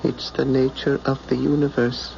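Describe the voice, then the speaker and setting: A male radio actor playing a wounded, power-hungry alien pilot in a halting, intense, theatrical way. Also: medium pitch, level tone, vocal fry, natural voice. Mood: haughty, pained. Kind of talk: radio drama acting. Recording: dull, noisy old radio broadcast recording.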